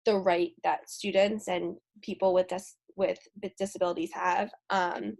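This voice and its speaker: A woman's voice: fairly low pitch, moderate pace, creaky. An American woman, a special education teacher, talking casually and peppering her speech with "like". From United States. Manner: speaks in a flat, even tone with frequent "like". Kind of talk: casual conversation.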